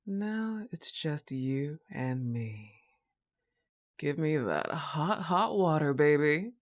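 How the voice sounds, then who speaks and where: Woman acting a slow, sardonic goth roommate, swinging intonation. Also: sits low, animated. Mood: relaxed musing. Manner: sarcastic, teasing, casual drawl. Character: laid-back, slightly annoyed, teasing goth young woman roommate. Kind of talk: acted roleplay ASMR monologue.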